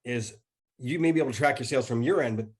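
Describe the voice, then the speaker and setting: A man's voice: mid-range voice, quick pace, a little mellow, breathy edge. A man in his thirties, a podcast guest whose voice is starting to go, explaining casually over a video call. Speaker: podcast guest, e-commerce expert. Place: indoor room at home. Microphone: video call setup.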